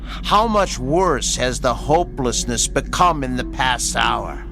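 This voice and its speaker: Slow, sinister, mocking tormentor. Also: male voice, medium pitch, lively intonation, gravelly. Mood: relentless, mocking. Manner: taunting, deliberate, drops into whispers. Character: sinister male tormentor, coolly mocking, menacingly polite. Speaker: actor. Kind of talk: radio drama acting. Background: music.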